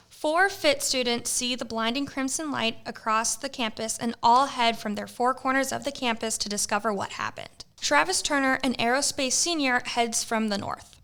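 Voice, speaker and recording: A woman narrating an amateur audio adventure in a playful, measured way. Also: a little high, bright, forceful tone, clean tone. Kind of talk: scripted storybook narration.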